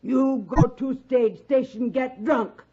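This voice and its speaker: Stern, scolding frontier wife. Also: female voice, sits high, slow, dark tone, breathy edge, heavily acted. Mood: stern, warning. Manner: clipped broken English, bossy. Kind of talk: 1950s radio Western acting. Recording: muffled 1950s radio recording.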